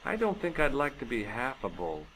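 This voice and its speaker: Animated delivery, boyish young man, video game sleuth, wry, curious, conversational, medium pitch, faint background noise. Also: moderate pace, poor audio.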